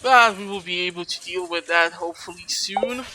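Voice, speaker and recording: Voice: medium pitch, moderate pace. A person giving casual game walkthrough commentary as a video host, rambling and thinking aloud. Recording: clearly noisy recording with clicks.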